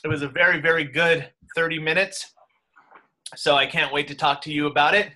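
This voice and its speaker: A cheerful man in his thirties welcomes people to a group call from his home office. His voice sits a little high and rings bright and forceful. He talks into a desk webcam. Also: fairly even intonation, fairly quick. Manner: upbeat, welcoming. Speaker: host. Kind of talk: casual group video call.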